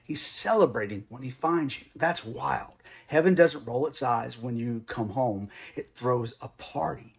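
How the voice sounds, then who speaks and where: A middle-aged man hosting a show with a comedic, punchy monologue, animated and playful with slang. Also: medium pitch, measured pace, vocal fry, few pauses, husky. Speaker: host of a Bible commentary show. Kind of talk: comedic scripted monologue with asides.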